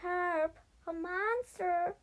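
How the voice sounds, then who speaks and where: A woman's voice: high voice, slow pace, pitched above the performer's own voice. A woman voicing a young female storybook mouse who is lovesick, melodramatic and pleading in a blubbering way. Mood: terrified.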